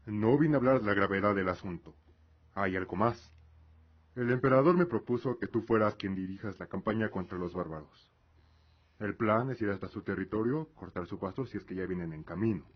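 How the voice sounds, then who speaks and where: Radio drama role, male actor: dignified middle-aged imperial father, low register, formal and earnest delivery, muffled lo-fi sound. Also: fairly quick, lightly acted. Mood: serious. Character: proud, devout middle-aged father, solemn imperial lord. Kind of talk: fantasy radio drama acting.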